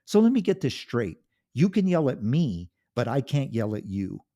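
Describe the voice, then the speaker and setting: A wounded husband at the end of his rope yells and pleads in a raised, indignant voice, as a man acts him out. The voice is husky and mellow beneath the strain. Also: medium pitch, very animated, moderate pace, voice pushed up. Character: desperate, hurt husband finally fighting back.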